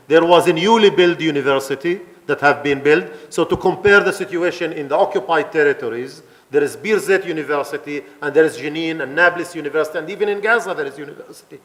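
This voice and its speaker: A man's voice: a little high, brisk, a bit bright. A man from the Palestinian community inside Israel, giving a passionate conference talk with fillers and restarts. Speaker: guest speaker at a conference. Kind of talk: public talk with questions and answers. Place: event hall in Italy.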